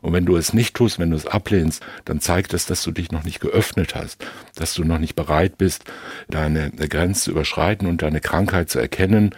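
Deep husky man, deliberate and analytical. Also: dry recording. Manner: halting, deliberate, long winding sentences. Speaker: legal expert panelist. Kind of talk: analytical discussion.

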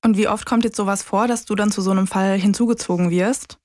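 A woman's voice: mid-range voice, steady tone, fast talker, darkish tone, no echo, clean studio sound. A woman co-hosting a podcast, putting conversational questions to a guest. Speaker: podcast co-host, interviewer. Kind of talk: conversational interview moderation.

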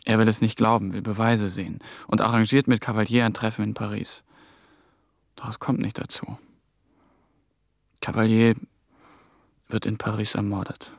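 A man's voice: lowish voice, level tone, normal speed, gravelly, long pauses, dry recording, clean studio sound. An actor playing a young intern on the run, telling his story in clipped, brooding phrases for a radio drama. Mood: brooding, tense. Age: young adult. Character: ambitious young German intern, hunted and haunted. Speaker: lead actor and narrating protagonist.

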